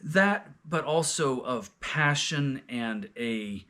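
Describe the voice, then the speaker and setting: A man's voice: medium pitch, lively intonation, takes it slowly, bright, forceful tone. A man hosting a casual, unscripted discussion, often searching for words and restarting his sentences as he goes. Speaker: host of a scripture reading discussion.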